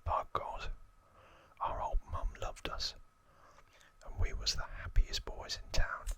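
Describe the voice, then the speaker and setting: A man's voice: slow, pushed and bright. Man putting on a whispering, menacing Cockney gangster. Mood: disgusted, nostalgic. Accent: put-on Cockney. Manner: threatening, slangy, self-important. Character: menacing Cockney London gangster twin, boastful and nostalgic. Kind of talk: whispered in-character reading of a game manual.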